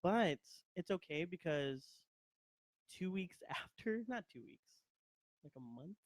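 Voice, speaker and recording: Young man, twenties, high voice, dry close sound, excitable, laughs a lot, podcast guest. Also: slow, dry recording. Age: 20s. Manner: animated, laughs often, sudden bursts of excitement. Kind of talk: casual chat. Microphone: handheld mic.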